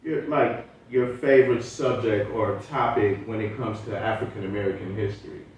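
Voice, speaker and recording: A man's voice: on the low side, steady tone, normal speed, a little mellow. A man hosting a podcast, asking questions in a casual, conversational way. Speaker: podcast host, interviewer. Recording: very echoey room, distant mic, faint noise.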